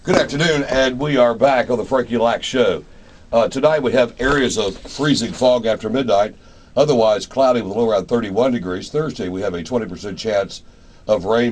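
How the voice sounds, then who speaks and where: Male radio host, low voice, folksy and unhurried in wording, some background noise. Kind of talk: casual radio interview and announcing.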